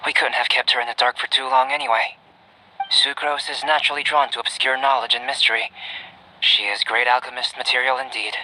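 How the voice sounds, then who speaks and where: A man voicing a calm, scholarly young alchemist in a video game, measured, reserved and articulate. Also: medium pitch, gravelly, a little hiss or hum, exaggerated voice, rough recording. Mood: calm, concerned.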